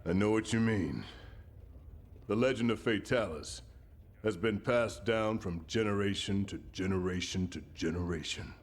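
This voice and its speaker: A man playing a stern, commanding general in a video game, speaking with measured authority. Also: lowish voice, natural voice. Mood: grave. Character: stern, commanding male army general briefing troops. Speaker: military commander. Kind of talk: video game cutscene acting.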